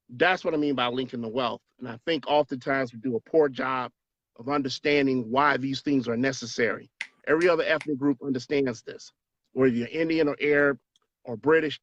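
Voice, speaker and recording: A man's voice: mid-range voice, a bit bright, no room sound. Earnest man, dull dry sound. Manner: earnest, persuasive, repeats words when stressing a point. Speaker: guest, business association president. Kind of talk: interview answers.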